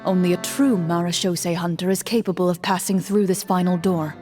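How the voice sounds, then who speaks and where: A woman voicing a calm, formal female game master who narrates a roleplay in a video game. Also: lowish voice, soft background music, lightly acted. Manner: measured, formal.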